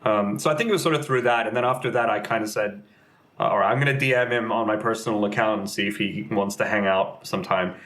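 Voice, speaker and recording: A man's voice: on the low side, quick pace, a bit bright. Casting: young man guest, rambling and filler-heavy, conversational. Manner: rambling, lots of fillers and restarts. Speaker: game community manager and streamer. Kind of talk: casual interview chat.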